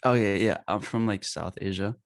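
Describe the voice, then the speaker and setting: A young man from Bangladesh, a podcast guest talking in a halting way with many fillers. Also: lowish voice, no room sound, clean studio sound. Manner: halting, filler-heavy, says like a lot. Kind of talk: casual podcast chat.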